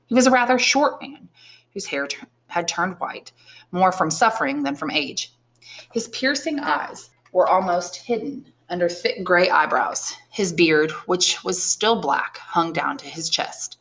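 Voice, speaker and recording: A woman reading a classic novel aloud in an expressive, teacherly storytelling style, with brief explanations. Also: fairly low pitch, measured pace, low-quality recording.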